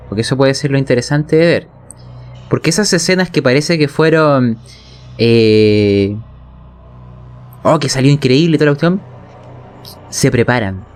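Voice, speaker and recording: A man with a Chilean accent explaining narration technique as a game master, colloquially, often checking in with his listeners. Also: medium pitch. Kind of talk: explanatory conversation on tabletop role-playing narration.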